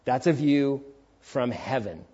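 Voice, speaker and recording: A man's voice: medium pitch, slow, mellow, husky, dull audio. A man in his forties preaching a sermon in an emphatic, informal, conversational way. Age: 40s. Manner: emphatic, informal, with rhetorical questions and acted-out quotes. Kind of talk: sermon with casual, conversational asides.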